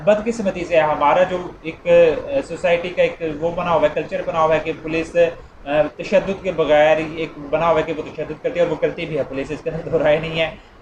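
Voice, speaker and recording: High-voiced Pakistani man lecturing, roomy sound. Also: steady tone, normal speed. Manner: didactic, repeats and rephrases points, mixes in English legal terms. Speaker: law lecturer. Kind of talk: informal explanatory lecture with illustrations. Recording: roomy, dull, clearly noisy recording.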